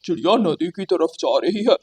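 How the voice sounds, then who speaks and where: A woman's voice: lowish voice, animated, moderate pace, a little mellow, slightly rough. A woman voicing a sneaky, greedy male crow in a cartoon, with a scheming, self-satisfied manner. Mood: gleeful plotting.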